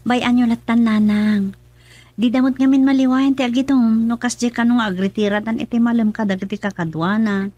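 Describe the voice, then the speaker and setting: A woman's voice: on the high side, steady tone, fairly quick, mellow, dry recording, lightly acted. An actress playing an anxious, trusting young wife and mother in a radio drama. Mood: defensive, trusting.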